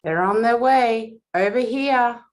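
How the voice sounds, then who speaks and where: A woman's voice: a little high, takes it slowly. A woman playing a placating Old West sheriff in a screenplay table read. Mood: urgent.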